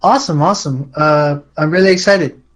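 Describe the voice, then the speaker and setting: A man's voice: a little high, fairly quick, a crowd in the background. A man answering questions as a guest on a casual show, easygoing and a little hesitant. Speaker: show guest who hosts a songwriters' talk show. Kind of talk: casual interview chat.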